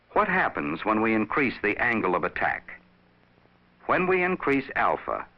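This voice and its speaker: The voice is a low-pitched man, narrating an instructional film. He explains in a measured, didactic way, with plenty of rise and fall in his intonation. The sound is dull and lo-fi, like a vintage training film soundtrack.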